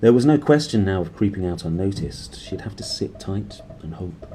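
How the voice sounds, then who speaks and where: Deep, mellow male storyteller. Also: expressive, normal speed, a little hiss or hum. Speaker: reader and host. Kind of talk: expressive audiobook narration with casual asides.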